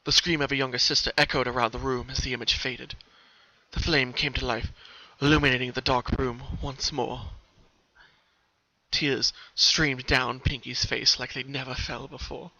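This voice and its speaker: Creaky-voiced man reading a dark story. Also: medium pitch, flat delivery, normal speed. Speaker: fan fiction reader. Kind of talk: dramatic scripted story narration. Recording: dull, muffled home recording.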